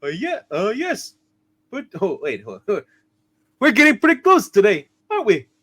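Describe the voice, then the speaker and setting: A man voicing an eager, excitable angel who interjects and exclaims in a hammed-up comic radio play reading. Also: sits high, normal speed, exaggerated voice. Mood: eager, fretful.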